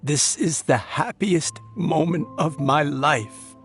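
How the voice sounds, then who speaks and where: An actor playing a gentle old grandfather in a video game, tender and wistful, speaking softly. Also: a little high, expressive, takes it slowly, breathy edge, faint music behind, full character voice. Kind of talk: scripted video game voice acting.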